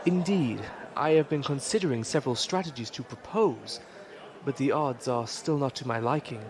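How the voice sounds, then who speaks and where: An actor playing a proud, skeptical pirate admiral who talks formally and deliberately, in an audio drama. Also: male voice, medium pitch, crowd noise, natural voice. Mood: measured.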